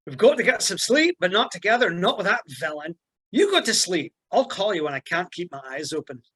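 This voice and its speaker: A woman's voice: lowish voice, big pitch swings, a little fast, a bit bright, husky, full character voice. A woman playing a suspicious, grudging male hobbit in a fantasy script table read.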